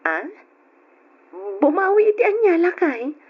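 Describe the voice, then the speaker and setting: A woman's voice: very high voice, big pitch swings, a little slow, heavily acted. A woman with an Ilocano accent playing an affectionate but questioning wife in an old radio drama. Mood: affectionate, teasing. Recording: dull lo-fi radio drama with music.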